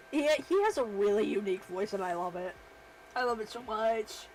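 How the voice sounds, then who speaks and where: A woman's voice: medium pitch, a little mellow, slight background noise, rough recording. A woman streamer chatting casually while she works, rambling and self-deprecating. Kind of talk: casual livestream chat while working.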